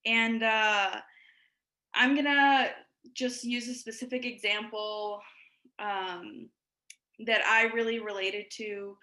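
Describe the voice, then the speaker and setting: A woman with a bright, clear voice speaks earnestly, pausing often and leaning on fillers as she works through her thoughts. She is a panelist on a video call, and the room around her rings with echo. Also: a little high, fairly even intonation. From Ecuador. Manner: earnest, many fillers and pauses. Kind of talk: panel discussion remarks.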